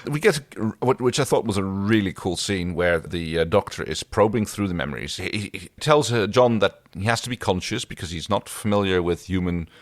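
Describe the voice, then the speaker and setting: A man co-hosting a casual TV recap podcast, often pausing to search for his words. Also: low voice, lively intonation, no room sound, studio quality. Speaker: podcast co-host. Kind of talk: casual TV episode recap chat.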